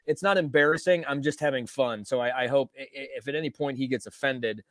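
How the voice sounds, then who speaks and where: A man's voice: mid-range voice, brisk, no room sound, studio quality. A man at a tabletop game, explaining himself casually with a lot of stammering repeats. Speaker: player. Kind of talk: casual in-character table talk.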